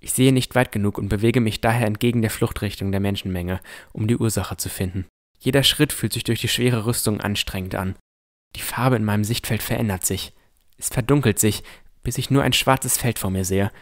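A man's voice: lowish voice, fairly quick. A man reading scripted first-person narration as the narrator of a fantasy audio drama.

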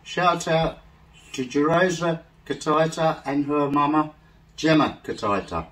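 A middle-aged English man reading shout-outs slowly and carefully in a casual home vlog, seated on a living-room sofa. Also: a little high, far from the mic, poor audio. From England. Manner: slow, soft-spoken, careful over names. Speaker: vlog co-host. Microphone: far camera.

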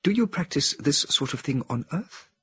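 A man's voice: a little high, moderate pace, husky, dry recording, clean studio sound, voice pushed up, full character voice. A man voicing a male chimpanzee scientist who speaks with a mysterious air, in an audiobook reading. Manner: mysterious, calm.

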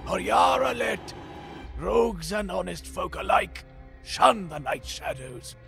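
An actor playing a frail, cursed philosopher in a fantasy audio drama, solemn and theatrical, with a gravelly, husky voice. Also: male voice, high-pitched, animated, slow pace, bright, forceful tone, soft background music, exaggerated voice. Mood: ominous storytelling. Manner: theatrical, portentous, archaic phrasing.

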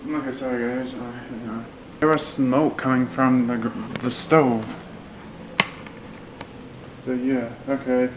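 A man's voice: mid-range voice, little pitch movement, slow, long pauses. A young man in his twenties chatting offhand in a casual home cooking vlog, full of fillers. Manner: rambling, offhand, many fillers. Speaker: vlogger. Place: small home kitchen, indoors. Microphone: camera set on the counter, at a distance. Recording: echoey kitchen with background noise.

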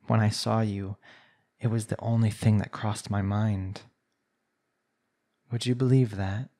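Man acting a smug, slow-talking flirtatious villain. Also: medium pitch, darkish tone. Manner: teasing, condescending, unhurried pet-name sweet talk. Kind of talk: intimate ASMR roleplay.

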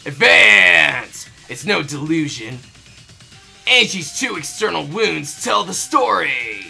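A man's voice: a little high, big pitch swings, slow pace, vocal fry, bright, forceful tone, heavily acted. A man voicing an earnest teenage boy detective in a video game, laying out accusations. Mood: determined. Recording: game music behind.

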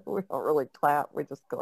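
A woman's voice: low voice, moderate pace, gravelly, breathy edge, no room sound. A woman chatting casually as a co-host on a podcast. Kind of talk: casual chat.

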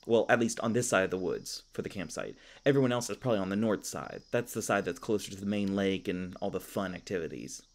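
A man's voice: sits low, animated, fast talker, hardly any pauses. Man playing a flustered, swoopy-voiced elf park ranger. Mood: nervous, chatty. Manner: stammering, awkward, folksy goofy exclamations. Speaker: voice actor in audio roleplay. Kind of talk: audio roleplay acting.

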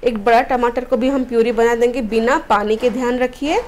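A woman's voice: a little high, fairly even intonation, fairly quick, a little mellow. A woman from Odisha, India, narrating a home cooking recipe step by step in a friendly instructional way as the host of a cooking channel. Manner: friendly step-by-step instructions, mixing English words.

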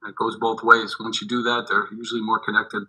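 A middle-aged man, a head basketball coach, answering postgame questions over a video call, halting and repeating his words, with many fillers. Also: on the low side, level tone, bright, forceful tone, slightly rough, rough recording. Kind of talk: postgame press conference answers. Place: indoors in front of a team backdrop. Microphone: video call webcam.

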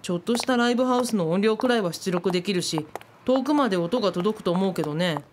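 A woman's voice: mid-range voice, lively intonation, fast, a little hiss or hum, natural voice. A woman playing an opinionated, blunt eighteen-year-old youngster in a radio drama. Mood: indignant. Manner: blunt, grumbling.

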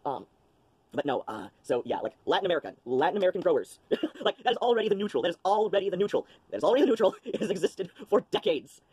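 A man's voice: sits high, big pitch swings, quick pace, darkish tone, slightly rough. Rambling man chatting on a webcam. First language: English. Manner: rambling, many ums and restarts. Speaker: vlogger. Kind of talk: casual unboxing chat. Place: small cluttered room at home, indoors.

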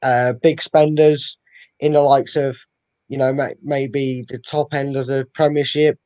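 A man's voice: medium pitch, flat delivery, unhurried, a little mellow, no echo. A young man giving emphatic opinions in a casual football chat as a fan channel co-host. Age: young adult. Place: living room at home, indoors. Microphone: video call, webcam. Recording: dull lo-fi video call audio.

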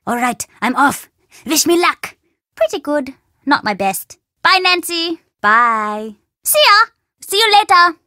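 A woman's voice: high-pitched, big pitch swings, slow pace, sharp tone, full character voice. A woman voicing a mischievous, playful young boy in a video game, with a cheeky, childlike way of talking. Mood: chirpy. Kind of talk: video game character acting.

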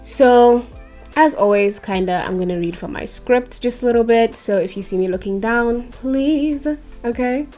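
A woman's voice: on the high side, moderate pace, warm tone, faint music behind. Bubbly young woman vlogging at her desk. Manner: bubbly, talks to viewers with frequent "okay?". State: losing her voice. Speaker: YouTuber. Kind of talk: casual chatty vlog. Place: small bedroom. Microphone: desk microphone.

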